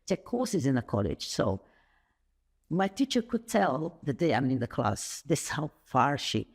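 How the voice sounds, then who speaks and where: Gravelly, husky woman, animated storyteller. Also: measured pace. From Saudi Arabia. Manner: animated and rambling, with sudden high pitch leaps. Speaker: podcast guest. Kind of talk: conversational interview.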